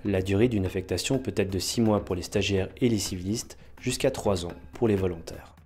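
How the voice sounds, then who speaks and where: Low-voiced man narrating over music. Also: a little fast, a little mellow. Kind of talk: scripted narration.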